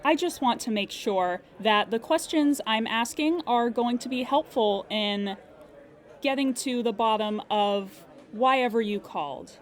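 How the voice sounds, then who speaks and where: A woman plays a diplomatic claims agent in an audio drama. Her voice sits fairly high and she talks slowly, choosing formal words with care. She stays courteous and measured. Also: close to an everyday voice. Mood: polite, probing. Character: polite, careful woman claims agent at supernatural insurer. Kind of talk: improvised audio drama customer-service call.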